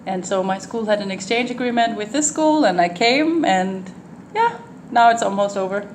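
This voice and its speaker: A Danish woman in her twenties with a Danish accent, a student answering interview questions in English in a thoughtful way, pausing often with ums and restarts. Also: mid-range voice, lively intonation, a little hiss or hum. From Denmark. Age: 20s. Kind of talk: casual interview conversation.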